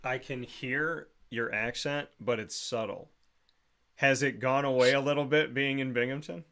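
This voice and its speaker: A man's voice: mid-range voice, fairly even intonation, measured pace, sharp tone. A man hosting an interview, asking questions in a friendly, conversational way. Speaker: host.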